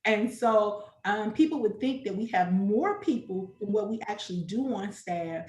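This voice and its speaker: A woman's voice: medium pitch, animated, moderate pace, a little mellow, reverberant. A woman in her sixties hosting a casual preschool program chat, talking in a chatty, affirming way with many restarts. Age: older. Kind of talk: casual online panel chat.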